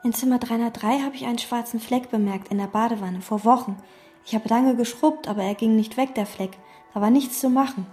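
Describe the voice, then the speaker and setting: A withdrawn, obsessive hotel maid, played by a woman in a radio drama. Her voice sits a little high but sounds soft and mellow. She talks calmly, in terse, flat sentences. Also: fairly quick, faint music behind, lightly acted. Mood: detached. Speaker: chambermaid. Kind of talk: radio play, inner monologue and dialogue.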